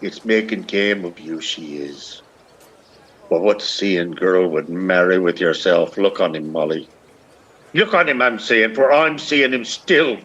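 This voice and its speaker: Bitter old blind Irish beggar, muffled. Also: male voice, deep voice, measured pace, a bit bright, a little hiss or hum. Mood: scornful, pleading. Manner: declamatory, lilting dialect speech. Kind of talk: theatrical radio play acting.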